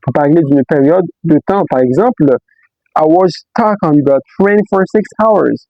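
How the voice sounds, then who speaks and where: A man with a slightly raised voice teaches beginners, repeating every example sentence slowly. He keeps nudging learners along with quick "ok?" prompts. The recording is dull and lo-fi, with no room sound. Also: fairly high pitch, no room sound. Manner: repeats example sentences slowly, switches between Creole, French and English; frequent "ok?" and "let's go, guys" prompts. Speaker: English teacher. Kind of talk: beginner language lesson.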